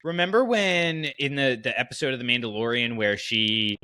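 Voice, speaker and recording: A man's voice: medium pitch, moderate pace, pushed and bright, clear, resonant tone, dry recording. A man hosting a casual fan podcast, talking through his choices in a conversational way with frequent asides. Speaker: podcast host.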